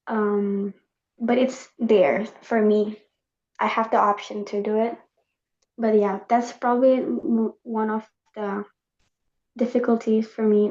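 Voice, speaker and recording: Indonesian-born young woman, native Indonesian speaker, higher pitch, halting chatty panelist, muffled lo-fi audio. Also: darkish tone. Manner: hesitant, many fillers. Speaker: student panelist. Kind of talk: casual group discussion. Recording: dull lo-fi sound.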